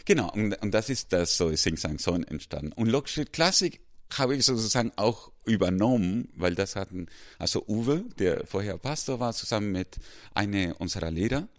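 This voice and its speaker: Deep-voiced man with a South American accent. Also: lively intonation. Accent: South American Spanish. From Ecuador. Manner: halting, restarts often, laughs easily. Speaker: music school head. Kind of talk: casual interview chat.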